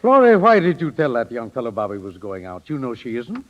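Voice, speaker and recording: A man's voice: mid-range voice, huge pitch swings, a little fast, a crowd in the background. An actor playing a dryly sardonic, unflappable father of grown daughters, in 1930s radio style. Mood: inquiring. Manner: droll, ironic. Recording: muffled 1930s radio recording.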